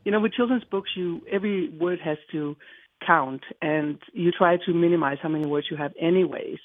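A woman's voice: lowish voice, fairly quick, dry recording. Danish woman on a tinny phone line. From Denmark. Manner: chatty, cheerful, rambling with restarts. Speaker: guest, children's book author. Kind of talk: interview.

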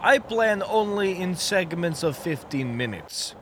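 A young actor plays a wry Soviet runaway in a radio comedy. His voice sits fairly high and swings about with theatrical liveliness, over clearly audible background noise. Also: sing-song, measured pace, a bit bright, full character voice. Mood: wry, guarded. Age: young adult. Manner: theatrical, speaks of himself in third person. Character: wry runaway Soviet comrade Mushnik, dreaming of being American.

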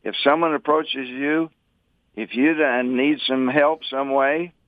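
A man's voice: mid-range voice, fairly even intonation, takes it slowly, no room sound. A man calling in to a radio talk show, earnestly making a point with scripture. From Utah. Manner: earnest, preachy, cites scripture. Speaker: radio caller. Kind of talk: phoned-in call to a radio show. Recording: tinny phone line.

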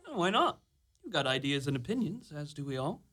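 An actor playing a sly, plucky young lad in a fairy-tale radio play, with a florid, cheeky, declamatory delivery. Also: male voice, a little high, sing-song, fairly quick, pushed and bright, heavily acted. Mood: light, kindly. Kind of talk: live radio play acting.

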